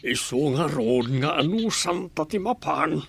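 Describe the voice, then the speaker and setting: Earnest young Ilocano man, higher-pitched. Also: lively intonation, a little slow, vocal fry, slightly rough, exaggerated voice. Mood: insistent. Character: earnest, insistent young man, a grown son. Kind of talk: radio drama acting.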